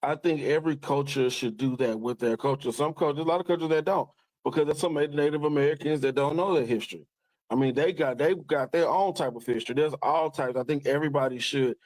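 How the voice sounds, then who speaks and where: A man with a fairly high voice talks quickly in a casual panel debate. He argues back and restates his points, in a dry-sounding recording. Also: darkish tone, no echo, low-quality recording. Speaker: podcast panelist.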